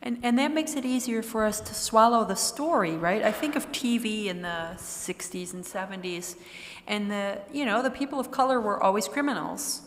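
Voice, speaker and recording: Older female participant, medium pitch, conversational thinking-aloud delivery, reverberant room sound. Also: normal speed. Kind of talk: casual group discussion. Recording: echoey hall with long reverb.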